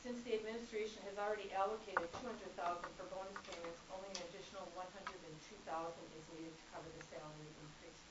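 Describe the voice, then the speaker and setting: A woman, an accounting instructor, giving a formal prepared public comment at a college board meeting in a measured, businesslike way. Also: fairly low pitch, fairly even intonation. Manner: measured, businesslike, reading figures. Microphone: speaking without a microphone. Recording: echoey distant room recording, dull, noisy.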